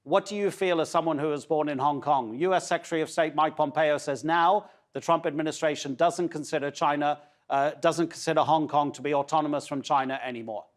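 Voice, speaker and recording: A man working as a news anchor, asking long, measured questions in a formal interview. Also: a little high, fairly even intonation.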